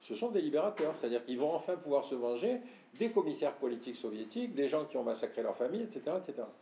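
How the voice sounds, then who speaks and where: An older man from France giving a talk to an audience, explaining and addressing listeners directly, often clearing his throat. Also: medium pitch, big pitch swings, very fast, mellow. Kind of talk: lecture with audience questions. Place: room with audience. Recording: echoey room.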